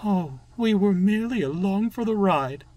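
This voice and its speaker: A man's voice: fairly high pitch, slow, a little mellow, far from the mic, voice pushed up. A man voicing a gloomy, genteel female railway coach in a storybook, in a plaintive manner. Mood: wistful.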